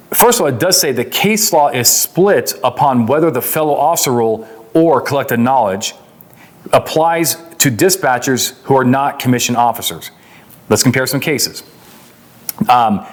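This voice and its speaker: American man, emphatic conversational instructor. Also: mid-range voice. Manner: emphatic, conversational, asks and answers own questions. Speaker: police legal instructor. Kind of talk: explanatory legal lecture.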